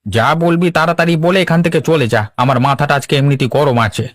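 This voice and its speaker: A man's voice: fairly high pitch, a little fast, no echo, studio quality, natural voice. A man playing a hot-tempered, jealous fish trader in a cartoon, scornful and domineering. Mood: irritated. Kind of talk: Bengali cartoon dub.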